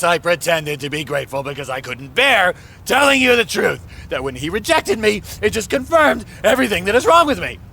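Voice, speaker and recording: Anguished, emotionally volatile husband. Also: male voice, sits high, bright, forceful tone, exaggerated voice. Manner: halting, emotional, dropping to whispers and rising to outbursts. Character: anguished married man, overwhelmed, self-loathing and bitter. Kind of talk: audio drama acting.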